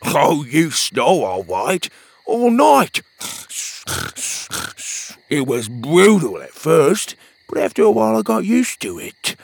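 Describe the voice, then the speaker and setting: A man's voice: on the high side, huge pitch swings, takes it slowly, gravelly, husky, no echo, voice pushed up, heavily acted. A man doing a cheery lad who lisps his r's. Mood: teasing. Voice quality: turns r into w. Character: cheerful young male friend with an r-to-w lisp. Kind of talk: storybook character voice.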